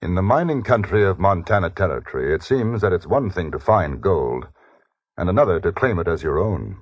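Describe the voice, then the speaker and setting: An actor playing a courteous, worldly English gentleman reporter in a western radio drama, with a British accent. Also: deep voice, very animated, a little fast, dry recording. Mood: measured. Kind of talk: 1950s radio drama acting and narration. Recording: dull vintage 1950s radio recording, faint music.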